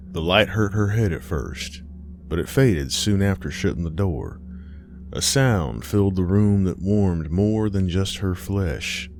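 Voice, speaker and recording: A man's voice: sits low, darkish tone. A man narrating a scripted horror story as an audiobook-style reader.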